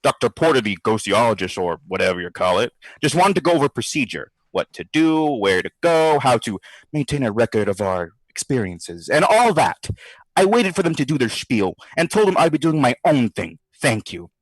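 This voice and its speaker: Brash sarcastic skeptic dude, very lively pitch, slangy, dry sound, audio drama. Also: male voice, normal speed, dry recording, low-quality recording. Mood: bored, sardonic. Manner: sardonic, slangy, theatrical. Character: brash wisecracking skeptical man, boozy ghost-study participant. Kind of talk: audio drama, recorded diary monologue.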